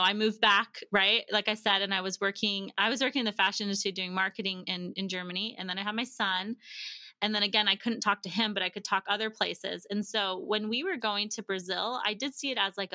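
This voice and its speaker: A woman's voice: medium pitch, fairly quick, no echo. A woman guest telling stories in casual conversation, acting out quotes as she goes and often saying "like". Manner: animated retelling with acted-out quotes and frequent "like". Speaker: podcast guest.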